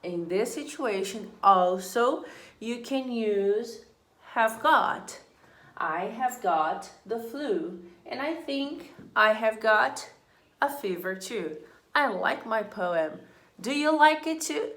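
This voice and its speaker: A woman's voice: fairly high pitch, expressive, slow, fairly bright tone. A woman teaching an English grammar lesson, patiently repeating example sentences and explaining each one. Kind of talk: slow, deliberate classroom explanation. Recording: echoey room.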